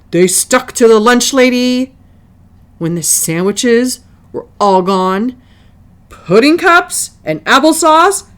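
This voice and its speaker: A woman reading a children's picture book aloud as narrator, with deliberate, dramatic phrasing and long pauses. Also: very high voice. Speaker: storybook reader.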